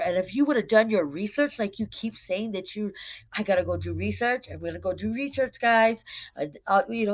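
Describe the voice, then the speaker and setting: A woman delivering an informal online rant in a blunt, emphatic, repetitive way. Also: medium pitch. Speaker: online commentator posting a rant. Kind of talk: confrontational direct-to-camera rant, informal.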